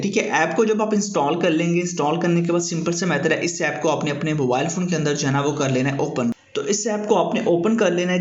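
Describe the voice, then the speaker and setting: A man hosts an informal app tutorial, explaining in a repetitive, filler-heavy way. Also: a little high. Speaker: YouTube tutorial host. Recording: echoey room, dull muffled sound.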